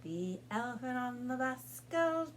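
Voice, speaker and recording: Casting: woman children's storytime reader, higher-pitched, unhurried, sing-song and coaxing; noisy recording with a hum. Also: expressive. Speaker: children's librarian reading aloud. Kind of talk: storytime read-aloud with sing-along for young children.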